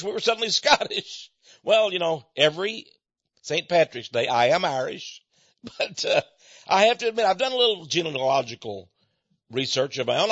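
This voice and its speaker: A man's voice: on the high side, fairly bright tone, dry recording, highs cut off. An American man giving a rambling personal monologue to his listeners, folksy and digressive, with frequent chuckles. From United States. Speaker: speaker addressing listeners.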